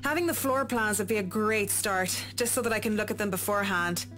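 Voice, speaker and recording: A woman's voice: mid-range voice, fairly quick, fairly bright tone, lightly acted. An actress playing a courteous, businesslike woman designer in a visual novel, polite and professional. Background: faint music.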